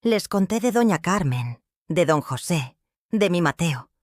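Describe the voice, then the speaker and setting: An older woman with a Mexican accent narrating her own life story in a slow, heartfelt, reflective way. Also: on the low side, lively intonation, no room sound, studio quality. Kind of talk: first-person storytelling narration.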